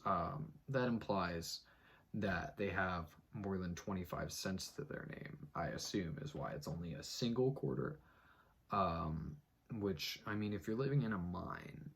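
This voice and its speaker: A man's voice: vocal fry, breathy edge. Man doing a mock-dramatic reading. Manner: theatrical, swings between booming and muttered. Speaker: YouTuber reading an article.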